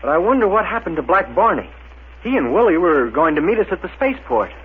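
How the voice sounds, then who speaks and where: A man's voice: sits high, big pitch swings, fast talker. An actor playing a decisive, hearty young male space captain, plain-spoken and emphatic, in a 1930s radio serial. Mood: decisive, keen. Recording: muffled, noisy old 1930s radio.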